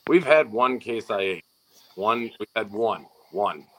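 A man's voice: fairly low pitch, lively intonation, unhurried, fairly bright tone, low-quality recording. A laid-back man chatting casually as a guest about driving his pulling tractor, with dry humor. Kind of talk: casual chat.

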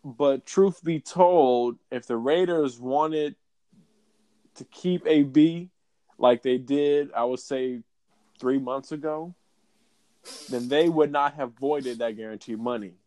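A man's voice: mid-range voice, takes it slowly, warm tone, no room sound. Laid-back male co-host, loose chatty delivery, dry close mic. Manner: laid-back, repeats phrases for emphasis. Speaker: podcast co-host. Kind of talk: casual sports podcast chat.

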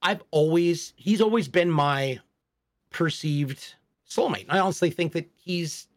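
A man in his forties talks openly and thoughtfully on a casual podcast. His voice sits a little high for a man. He takes his time and reflects as he tells his story. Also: measured pace. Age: 40s. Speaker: podcast co-host. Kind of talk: casual chat.

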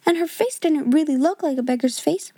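A woman's voice: high voice, fairly quick, dark tone, no echo, studio quality, voice pushed up. A woman doing an eager young girl. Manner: eager, earnest.

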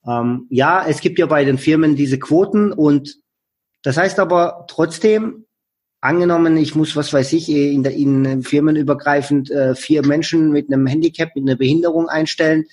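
A man shares workplace observations in relaxed talk, turning to address listeners directly with advice. His voice sits a bit high and sounds husky. He acts out the lines of the people he quotes. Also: normal speed. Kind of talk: casual conversation.

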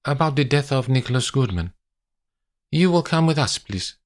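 Man acting a stern policeman, raised pitch. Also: expressive, moderate pace, lightly acted. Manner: formal, clipped, commanding. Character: stern, formal Italian male police officer.

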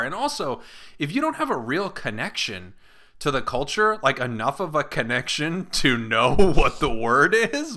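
A man's voice: medium pitch, pushed and bright. A young man hosting a comedy podcast, joking playfully and reading a post aloud. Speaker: podcast host. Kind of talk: casual comedic podcast chat.

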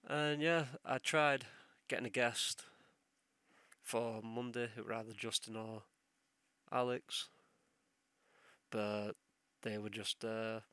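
A man's voice: medium pitch, fairly even intonation, takes it slowly, pauses often, bright, forceful tone, clean tone, no echo, clean studio sound. A young man hosting a solo podcast while ill, with a sore throat and sniffles, rambling and swearing as he loses his train of thought. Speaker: podcast host.

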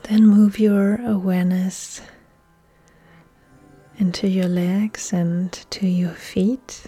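A woman yoga instructor leading a guided relaxation meditation in a soft, breathy, soothing delivery with long pauses. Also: mid-range voice, level tone. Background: soft music.

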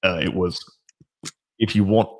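Deep, husky-voiced confident man. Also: steady tone, a little slow, a little mellow. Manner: confident, rambling with restarts. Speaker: dating coach guest. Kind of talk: casual podcast chat.

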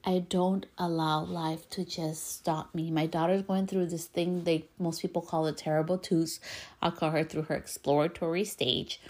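A woman's voice: low voice, level tone, moderate pace, darkish tone. Emphatic woman with a Spanish accent. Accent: Spanish-accented English. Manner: earnest, emphatic, pep-talk delivery. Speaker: podcast host. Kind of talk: motivational monologue.

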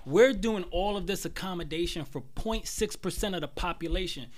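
A man's voice: high-pitched, moderate pace. A man in a casual panel talk, speaking bluntly and emphatically with plenty of slang. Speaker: panel guest.

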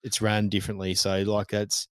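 Aussie podcast bloke, swears a lot, gets worked up, lowish voice. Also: male voice, completely flat delivery, moderate pace, clean tone, no echo, clean studio sound. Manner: blunt, sweary, fired up. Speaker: podcast co-host. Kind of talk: casual chat.

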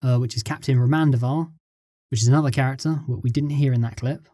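Man, script writer guest, mellow tone, average pitch, halting casual explanations, dry sound. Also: steady tone, no echo. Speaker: script writer being interviewed. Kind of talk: casual interview chat.

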